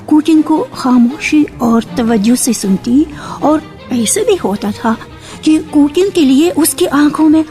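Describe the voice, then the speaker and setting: A woman narrating a radio drama from a script in a measured storytelling manner. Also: sits high, warm tone. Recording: archival radio with faint music.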